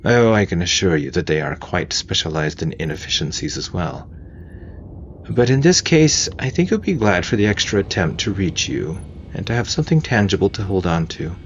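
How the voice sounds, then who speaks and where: A man voicing an older woman navy major in audio fiction, a formal and dryly witty mentor with crisp, measured military speech. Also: low-pitched, big pitch swings, highs cut off, a little hiss or hum. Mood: dryly amused. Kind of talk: audio fiction character dialogue.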